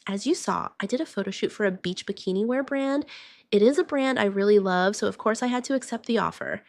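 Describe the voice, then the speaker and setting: A woman's voice: medium pitch, a little fast, creaky, a little mellow, dry recording. A woman vlogger narrating a roleplay morning-routine video, chatting directly to her viewers. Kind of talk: voiced roleplay vlog narration.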